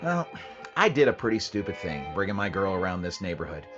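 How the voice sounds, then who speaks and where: An actor playing a terse, businesslike police sergeant who narrates the case in a clipped, matter-of-fact 1940s radio drama style. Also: male voice, sits low, sing-song, brisk. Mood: feigned casual. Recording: dull lo-fi radio sound with music bed.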